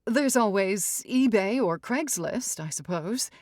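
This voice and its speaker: Woman acting a theatrical, high-voiced mother of the bride. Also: lively intonation, slow, darkish tone, no room sound, clean studio sound. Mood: fussy. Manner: theatrical, doting, haughty. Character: fussy, indignant middle-aged mother of the bride. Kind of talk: audiobook character dialogue.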